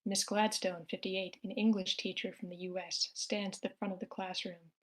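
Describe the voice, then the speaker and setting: A woman's voice: mid-range voice, flat delivery, normal speed, poor audio. A woman reading out scripted stage directions as the narrator at a screenplay table read.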